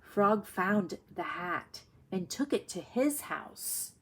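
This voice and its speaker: Female primary reading teacher, very unhurried, deliberate and explanatory, reading a story aloud and thinking out loud. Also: medium pitch, very animated, breathy edge. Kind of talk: read-aloud lesson for young children, thinking aloud.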